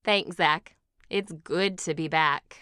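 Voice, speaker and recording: A woman's voice: deep voice, slow, pushed and bright, smooth, no echo, studio quality, full character voice. A woman playing a confident, competitive aunt and former game show champion in an audio drama. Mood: upbeat showmanship.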